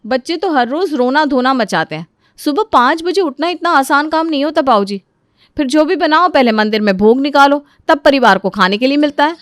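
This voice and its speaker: Woman as an exasperated elder daughter-in-law, high voice. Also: expressive, a little fast, no room sound, clean studio sound. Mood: pleading complaint. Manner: complaining, pleading at length. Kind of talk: acted dialogue in a moral story.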